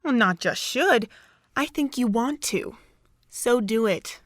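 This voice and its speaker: A woman acts out a young female college student with a fairly high voice and a dry, close sound. She is chirpy, caring and insistent, pressing like a best friend in an amateur audio drama. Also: animated, slow, a bit bright, no room sound, exaggerated voice. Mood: firm, encouraging.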